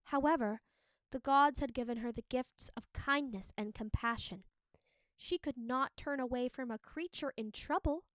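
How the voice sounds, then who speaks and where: A woman narrating a storybook as a teacher would, in an expressive read-aloud style. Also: high voice, normal speed, no room sound.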